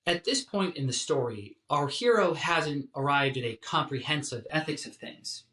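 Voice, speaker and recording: A man delivering a scripted academic lecture in a measured, articulate, emphatic way, like a professor. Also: fairly high pitch, expressive, pushed and bright. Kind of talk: scripted philosophy lecture narration. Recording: roomy, echoing sound.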